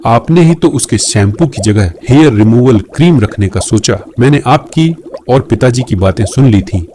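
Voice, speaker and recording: A man playing a devoted young husband in a cartoon, gentle but firm and earnest. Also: medium pitch, lively intonation, moderate pace, slight background noise, natural voice. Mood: reproachful. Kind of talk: Hindi moral-story cartoon acting.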